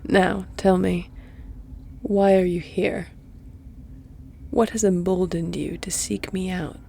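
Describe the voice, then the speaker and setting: A woman acts out a seductive female vampire, haughty and predatory, for an intimate audio roleplay. She draws her words out very slowly, with a gravelly creak, and often slips into a teasing whisper. A faint noise sits behind the voice. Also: on the low side, fairly even intonation, warm tone. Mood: seductive, menacing. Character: flirtatious predatory female vampire, lady of an estate.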